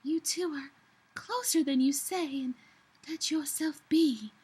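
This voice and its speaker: A calm, reassuring elf woman, put on by a fan fiction reader. She speaks slowly and soothingly in a high, measured voice. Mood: calm reassurance. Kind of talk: dramatic fan fiction reading.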